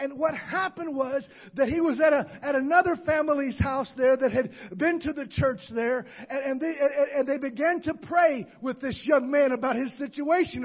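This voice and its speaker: A man preaching a sermon, shouting with call-and-response to the congregation. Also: very high voice, dull audio. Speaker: pastor. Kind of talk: Pentecostal sermon.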